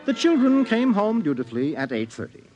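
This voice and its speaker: A man's voice: sits high, sing-song, darkish tone, highs cut off. Brooding male radio narrator, dramatically swooping. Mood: brooding, ominous. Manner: intense, deliberate, incantatory repetition. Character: brooding narrator of an ominous science fiction tale. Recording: vintage radio drama over music. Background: music.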